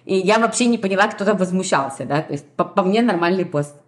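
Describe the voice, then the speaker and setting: A woman's voice: lowish voice, animated. A woman co-hosting a podcast, chatting casually about her own experience. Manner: chatty, quick. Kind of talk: casual chat.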